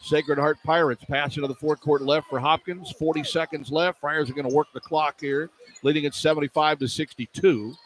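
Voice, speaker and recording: A man calling live basketball play-by-play for radio in clipped running descriptions that can rise into excited calls. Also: a little high, fairly quick. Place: indoor arena at a live game. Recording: live radio broadcast with arena noise. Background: arena noise.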